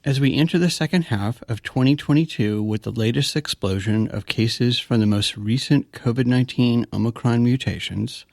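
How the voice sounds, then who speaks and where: A man with an American accent and a gravelly voice, hosting an interview program in a scripted presenting style. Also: mid-range voice, moderate pace, few pauses, darkish tone, dry recording, clean studio sound. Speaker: podcast host and interviewer. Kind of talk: scripted introduction and interview narration.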